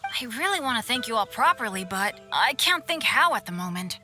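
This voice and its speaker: A woman voicing an earnest, optimistic youngster in a video game cutscene, with an expressive, informal delivery. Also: on the high side, a little fast, loud music behind the voice. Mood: sheepish, grateful.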